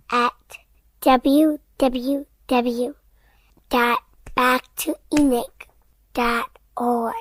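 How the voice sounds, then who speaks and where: Very slow, high-voiced female announcer. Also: dry recording. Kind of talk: scripted closing announcement.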